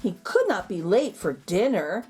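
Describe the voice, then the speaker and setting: A woman storyteller reading a children's picture book aloud in an expressive narrating style. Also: medium pitch, measured pace, slightly rough.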